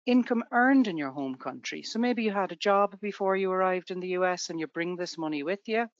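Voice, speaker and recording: A woman's voice: lowish voice, brisk, no echo, lo-fi sound. A woman from Ireland with an Irish accent, presenting a tax webinar to students in a conversational way with everyday examples.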